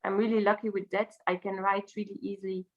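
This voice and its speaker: A woman from Brussels with a Belgian French accent, a native French speaker talking casually as an interview guest in halting English, searching for words. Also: medium pitch, level tone, highs cut off. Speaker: interview guest, singer and teacher.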